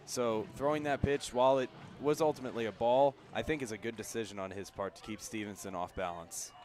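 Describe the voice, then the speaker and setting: Guy doing baseball color commentary, normal pitch, chatty analysis, bit halting, outside at the park. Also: steady tone, a little mellow. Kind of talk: live baseball radio analysis. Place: outdoors at a ballpark.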